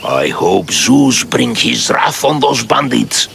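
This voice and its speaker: A man's voice: mid-range voice, sing-song, takes it slowly, vocal fry, fairly bright tone, a little hiss or hum, exaggerated voice. An actor playing an anxious, superstitious poor Greek man in a video game, speaking in a plaintive, fretful way. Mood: indignant. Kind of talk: video game cutscene acting.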